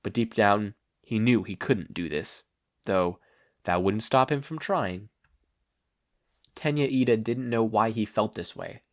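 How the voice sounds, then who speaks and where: A man reading stories aloud as an expressive podfic narrator. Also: on the low side, a little slow, long pauses. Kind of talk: expressive fan fiction narration.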